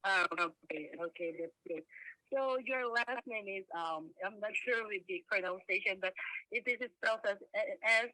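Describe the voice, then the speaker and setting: Bright-voiced woman caller. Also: medium pitch, moderate pace, dry recording, rough recording. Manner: polite, reads from a script. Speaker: phone survey caller. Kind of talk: scripted phone survey.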